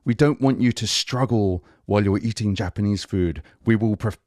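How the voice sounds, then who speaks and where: Man, podcast teacher, lowish voice, upbeat and chatty, dry close recording. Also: normal speed, a little mellow, no room sound. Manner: chatty, enthusiastic, switches between English and Japanese phrases. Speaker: language podcast host. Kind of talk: casual conversational lesson.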